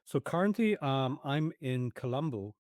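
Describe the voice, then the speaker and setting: A man's voice: medium pitch, expressive, moderate pace, darkish tone, no echo, clean studio sound. A man in his forties, raised in Nigeria, Ethiopia and the UK, speaking reflectively as an interview guest with many restarts. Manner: reflective, with frequent restarts and fillers. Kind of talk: conversational interview.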